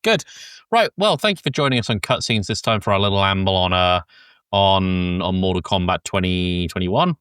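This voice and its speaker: A man with a fairly low voice hosts a casual film chat. He rambles loosely and sounds a little congested, as if sick. The recording is close and dry, with little room sound. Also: expressive, moderate pace, a bit bright, clean tone, dry recording. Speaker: podcast host.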